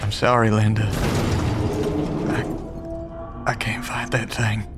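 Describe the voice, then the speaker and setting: A man's voice: fairly low pitch, flat delivery, fairly quick, lightly acted. An actor playing a terrified, desperate man in an audio drama, trying to steel himself. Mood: defeated. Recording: loud music over the voice.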